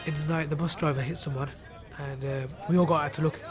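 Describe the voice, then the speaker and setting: A man's voice: on the high side, fairly even intonation, quick pace, over music. A man, recalling events as an interviewee. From English Midlands. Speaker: documentary interviewee. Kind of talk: recollecting events to camera.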